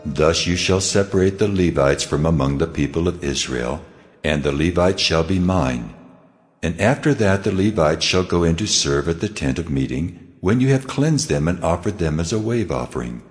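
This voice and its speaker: Very deep, solemn God. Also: male voice, animated, normal speed. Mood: solemn, commanding. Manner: solemn, authoritative. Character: God, solemn commanding male divine voice. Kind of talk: dramatized scripture reading with music. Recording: long cavernous reverb. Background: faint music.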